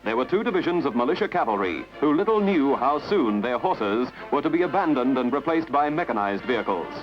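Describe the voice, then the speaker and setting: A man narrates a scripted newsreel in a dramatic, measured, slightly wry way. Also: medium pitch, sing-song. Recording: dull lo-fi archival film soundtrack with music. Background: prominent music.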